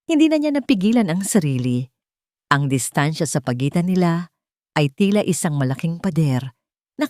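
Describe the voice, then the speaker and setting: A woman narrating a scripted dramatic romance story in measured, deliberate phrases with short pauses. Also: lowish voice, mellow, dry recording, clean studio sound.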